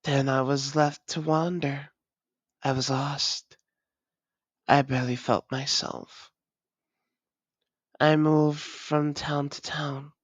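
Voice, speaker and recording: An actor playing a weary, guarded vampire and long-lost lover, speaking in halting, formal phrases in an intimate roleplay audio drama. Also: medium pitch, dry recording. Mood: somber, haunted. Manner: measured, halting, formal old-fashioned phrasing.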